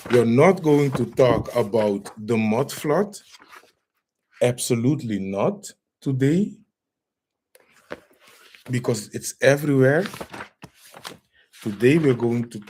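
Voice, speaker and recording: A man's voice: fairly low pitch, a little mellow. A man hosting a live-streamed lecture, greeting viewers by name and asking where they are watching from. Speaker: livestream host.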